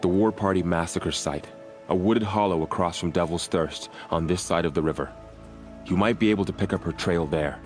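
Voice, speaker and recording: A grieving, dutiful young warrior acted by a man from a video game. His voice is deep, with a solemn, earnest delivery. Soft music sits underneath. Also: brisk, natural voice. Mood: earnest, urgent.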